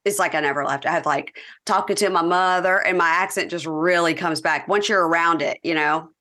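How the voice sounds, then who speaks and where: A Southern woman from Mississippi hosts a casual podcast chat in a bright, forceful voice. Her tone sits a little low for a woman. She is chatty and affirming and laughs easily with her guest. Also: a little fast. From Mississippi, USA. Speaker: podcast host.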